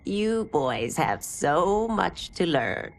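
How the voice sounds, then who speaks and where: Tough, wry, low-pitched older teacher. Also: female voice, very animated, drawn-out words, exaggerated voice. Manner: blunt, knowing, firm. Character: tough, wry older woman, village martial arts teacher. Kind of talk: video game cinematic acting.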